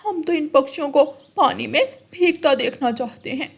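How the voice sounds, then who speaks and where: A woman's voice: high-pitched, normal speed, gravelly. A woman voicing a scheming, selfish female crow in a children's cartoon, haughty and dismissive. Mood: scheming, smug. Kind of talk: Hindi children's cartoon story acting.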